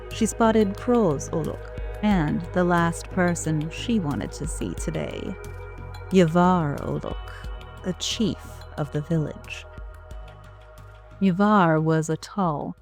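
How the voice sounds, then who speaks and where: A woman reading scripted narration for an audio drama in a measured storytelling manner. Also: on the low side, a little mellow. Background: music.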